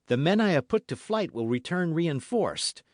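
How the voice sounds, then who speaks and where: A man's voice: a little high, sing-song, normal speed, no echo, clean studio sound, pitched above the performer's own voice. A man reading an audiobook as a brave, eager young guardsman with an emphatic, courtly way of speaking. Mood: urgent caution. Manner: emphatic, courtly, formal speech.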